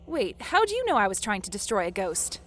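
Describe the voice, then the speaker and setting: A woman's voice: mid-range voice, wildly swooping pitch, brisk, a little hiss or hum. An actress playing a young woman protagonist in an audio drama, shaken but determined. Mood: cautious, curious. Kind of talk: audio fiction drama acting.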